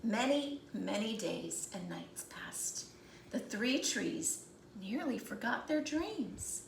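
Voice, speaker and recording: A woman narrating a children's storybook aloud with deliberate, dramatic phrasing. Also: mid-range voice, big pitch swings, vocal fry. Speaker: storybook reader. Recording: roomy echo, faint background noise.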